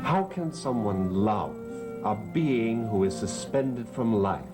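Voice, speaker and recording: An earnest lord in an old soap, low and measured, over loud music. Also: male voice, expressive. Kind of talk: 1960s soap opera acting.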